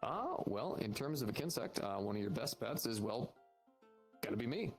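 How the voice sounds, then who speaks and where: A man's voice: medium pitch, big pitch swings, normal speed. Patient man explaining fantasy lore over faint music. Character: knowledgeable fantasy insect keeper at a monster hunters' guild. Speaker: roleplayer playing a guild insect expert. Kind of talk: improvised in-character explanation in an online roleplay.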